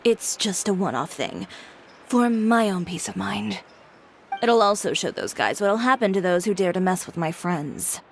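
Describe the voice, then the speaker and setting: Casting: a poised fashion designer, confident and dry, mid-pitched voice, quietly in charge. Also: female voice, expressive, measured pace, gravelly, slight background noise. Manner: cool, confident, dryly teasing. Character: composed, self-assured female fashion designer.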